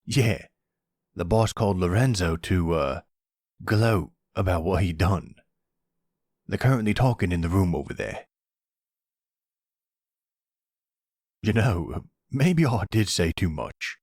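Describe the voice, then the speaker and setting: Male actor as friendly dim mob lackey, stammering, slangy, slightly husky, dry close-up sound. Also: low-pitched, expressive, a little slow, darkish tone, dry recording, heavily acted. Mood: chatty, friendly. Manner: hesitant, stammering, slangy bro talk. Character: nervous, chatty, friendly dumb mafia henchman. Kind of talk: audio roleplay drama.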